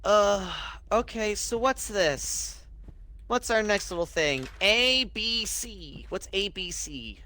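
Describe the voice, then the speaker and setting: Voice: medium pitch, expressive, takes it slowly, bright, forceful tone. A co-op partner in a horror game, explaining the objectives and sometimes dropping to a whisper. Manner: explains the game, drops to a whisper when tense. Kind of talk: casual gameplay chat.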